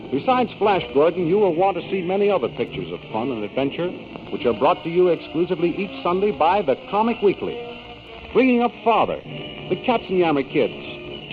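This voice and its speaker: A man announcing in scripted 1930s radio style, with emphatic, formal salesmanship. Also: sits high. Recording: noisy, dull old radio recording.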